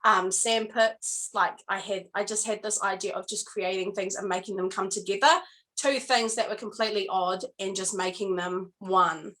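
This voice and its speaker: Interview guest: woman, New Zealand accent, bright tone, earnest and halting. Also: mid-range voice, fairly even intonation, poor audio. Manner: earnest, reflective, halting with many pauses. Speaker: guest artist. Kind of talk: casual interview chat.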